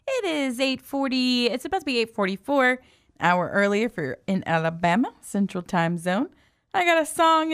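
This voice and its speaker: A woman presents live morning radio from a studio with a smooth, crisp voice that sits fairly high. Her delivery is chatty and giggly, moving casually between station patter and small talk. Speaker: radio host, music station DJ.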